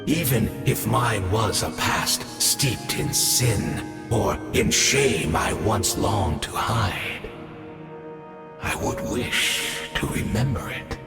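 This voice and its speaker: A man voicing an ancient, eroded knight-instructor in a video game, courteous and wistful with a quiet menace, speaking formally and haltingly in a gravelly, breathy voice. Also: lowish voice, expressive, heavily acted. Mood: mournful, pleading. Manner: slow, halting, formal, measured. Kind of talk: video game dramatic voice acting. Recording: echoey cavernous reverb, music behind.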